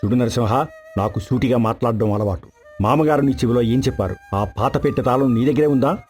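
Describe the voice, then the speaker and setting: An actor playing a greedy, arrogant, hot-tempered son-in-law in a dramatized folk tale, domineering and threatening. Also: male voice, medium pitch, brisk, darkish tone, soft background music, natural voice. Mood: scheming, coaxing.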